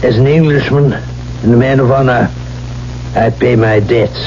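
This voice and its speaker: A man's voice: mid-range voice, steady tone, a little slow, darkish tone, close to an everyday voice. A man acting in a 1970s radio drama as a proud, stubborn English father bound by honor, formal and grave. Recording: muffled, hissy old radio broadcast.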